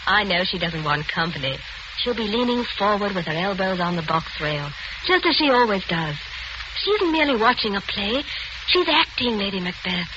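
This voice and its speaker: An actress in 1940s radio style playing a devoted, earnest secretary-companion, eager and polite. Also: female voice, medium pitch, very animated, moderate pace, lightly acted. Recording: noisy, muffled old radio broadcast.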